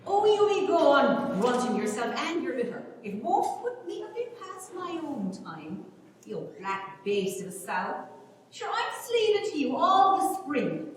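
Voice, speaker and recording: A woman's voice: high-pitched, very animated, a little slow. A woman playing a busy young Irish farm girl, scolding and chatty, in a dramatic reading of a rural stage comedy. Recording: echoey hall from far off, faint noise.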